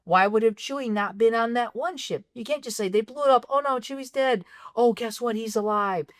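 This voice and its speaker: A woman co-hosting a film podcast, ranting in exasperation about a movie's plot holes, her voice going a bit raspy. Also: on the high side, a little fast, dry recording, studio quality. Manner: exasperated, ranting, animated complaints. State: voice getting raspy. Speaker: podcast co-host. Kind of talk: casual film critique chat.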